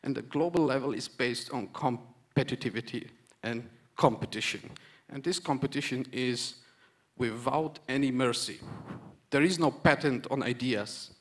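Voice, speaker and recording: A man addresses a conference panel in English. He hammers home his points with repeated phrases and stumbles into the occasional restart. His voice sits at an ordinary, middling pitch. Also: normal speed. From Romania. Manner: earnest, repetitive emphatic phrasing with hesitant restarts. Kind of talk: conference speech.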